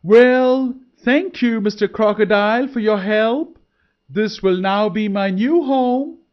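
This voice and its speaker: A man's voice: a little high, sing-song, slow, heavily acted. A man voicing a clever, cheerful young male deer in a children's storybook. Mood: triumphant. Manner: bright, eager, raised voice. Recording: dull lo-fi recording.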